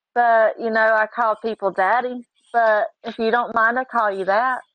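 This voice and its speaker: A woman's voice: on the high side, steady tone, slow pace, no room sound, dull audio. Flirty, teasing woman in her thirties. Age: 30s. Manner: flirty, teasing, uses pet names. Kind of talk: casual flirty chat.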